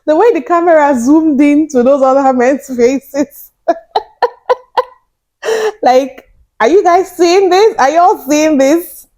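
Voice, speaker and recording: A young woman vlogger giving casual, animated commentary straight to her viewers, often incredulous. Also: high-pitched, takes it slowly, pauses often. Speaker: reaction vlogger.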